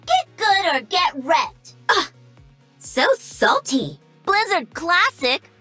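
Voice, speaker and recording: Giovane gamer sfacciata e frizzante, voce altissima e brillante, musica lieve sotto. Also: female voice, extremely high pitch, sing-song, slow, pushed and bright, soft background music, full character voice. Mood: sassy. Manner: sassy, teasing, bubbly. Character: cocky young female gamer mech pilot. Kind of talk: game voice lines.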